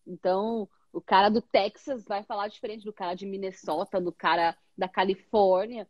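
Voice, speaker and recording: A woman's voice: medium pitch, steady tone, moderate pace, dry recording, muffled recording. A Brazilian woman with a Brazilian Portuguese accent, an English teacher chatting animatedly with a guest and using lots of tag questions. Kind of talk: casual conversation.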